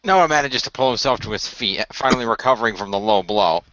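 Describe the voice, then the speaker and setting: A man's voice: fairly low pitch, animated, quick pace, a bit bright, no echo, dull audio. A man giving improvised narration of his character's actions in an amateur radio play.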